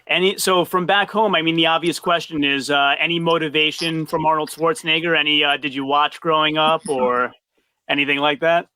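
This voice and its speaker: Male interviewer, slightly higher voice, curious and encouraging, dry close sound. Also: steady tone, moderate pace, pushed and bright, clean tone, no echo, poor audio. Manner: friendly, curious, lots of affirmations. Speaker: podcast host, interviewer. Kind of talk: casual interview over a video call.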